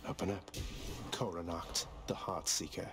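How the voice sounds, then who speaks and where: Low-voiced wry young underworld prince. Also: male voice, little pitch movement, normal speed, over music. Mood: determined, dry. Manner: dry, understated, sardonic. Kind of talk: video game character acting.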